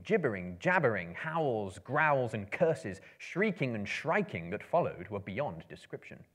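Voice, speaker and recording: A man in his thirties giving a dramatic book reading, with theatrical, measured, emphatic phrasing. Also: mid-range voice, a bit bright. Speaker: storyteller. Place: room lined with books, indoors.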